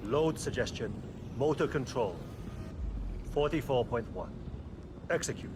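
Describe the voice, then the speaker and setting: A man's voice: mid-range voice, animated, measured pace, noisy background, natural voice. A man voicing an obsessive, impatient scientist who gives commands in a dramatic video game. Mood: commanding. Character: obsessive, driven male scientist running brutal mind-control experiments. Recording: loud music over the voice.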